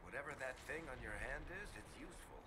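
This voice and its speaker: A man's voice: mid-range voice, expressive, fast, close to an everyday voice. An actor playing a firm, direct male hero in a fantasy video game. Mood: dry. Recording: very noisy, quiet game audio.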